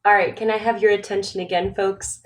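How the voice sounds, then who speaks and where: A woman's voice: medium pitch, level tone, brisk. A woman in her twenties from the United States, whose first language was Romanian, giving a scripted webinar presentation in a formal, read-aloud manner. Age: 20s.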